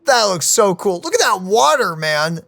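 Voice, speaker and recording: A woman hosting a gaming channel, reacting to a game with excited, playful comments. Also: mid-range voice, moderate pace, gravelly.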